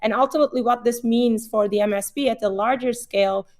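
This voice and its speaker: A woman's voice: on the high side, fairly even intonation, fairly quick, a little mellow. A woman in her forties from Turkey with a Turkish accent, a company CEO explaining her views in a webinar panel with frequent "uh" fillers.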